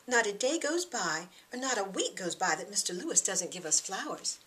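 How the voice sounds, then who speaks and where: A woman's voice: medium pitch, very animated, moderate pace, bright, forceful tone. Woman voicing a sweet, chatty young woman. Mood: admiring.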